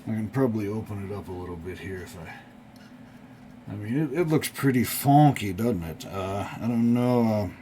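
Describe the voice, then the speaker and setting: A man presenting a casual, unscripted hobby show-and-tell, thinking aloud in a halting, rambling way. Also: fairly low pitch, animated, warm tone. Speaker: hobbyist video presenter restoring vintage electronics. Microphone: off-camera mic while filming the object. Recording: clear background noise.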